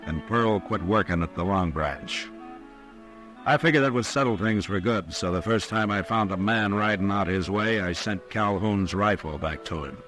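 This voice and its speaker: A man acting a stern frontier marshal in an old-time radio western. His American voice is very low, and he talks in terse, commanding lines with steady authority. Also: normal speed, soft background music, natural voice. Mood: grim narration. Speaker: lead actor and narrator.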